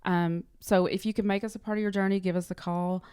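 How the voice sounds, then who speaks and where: A woman's voice: mid-range voice, steady tone, quick pace, mellow, clean tone, no room sound, clean studio sound. A woman chatting casually as an interview guest, giving encouraging advice in earnest, emphatic short statements. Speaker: interview guest, clinic front-office worker.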